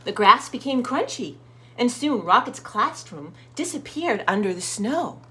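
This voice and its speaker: A woman hosting children's storytime, reading expressively to young listeners and addressing them directly. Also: a little high. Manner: slow, expressive, sounds out words and asks listeners questions. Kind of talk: picture book read-aloud for young children.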